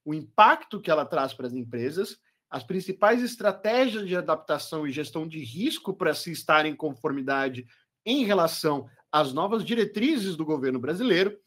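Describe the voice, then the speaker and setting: A man's voice: a little high, fairly bright tone. Upbeat man hosting a webinar. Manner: fluent, upbeat presenter, says his accent is not Portuguese. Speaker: webinar host, product marketing manager. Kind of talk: presenting a corporate webinar.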